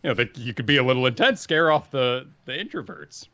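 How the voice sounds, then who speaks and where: A man co-hosting a gaming podcast, talking energetically and assertively in a deep voice into a studio microphone. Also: lively intonation, brisk, bright, forceful tone, dry recording, highs cut off. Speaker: podcast co-host. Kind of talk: casual gaming podcast talk.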